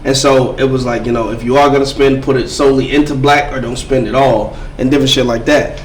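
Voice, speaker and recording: A man's voice: medium pitch, steady tone, fast talker. A man co-hosting a podcast, talking casually in a loose, joking, profane way. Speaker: podcast co-host. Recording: roomy, distant mic, faint background hum.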